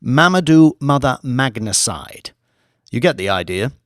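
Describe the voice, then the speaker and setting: A man's voice: mid-range voice, big pitch swings, measured pace, no room sound, clean studio sound. A man voicing a cool, scheming British government operative in an audiobook, commanding, clipped and businesslike. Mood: scheming, brisk. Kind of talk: audiobook character voice.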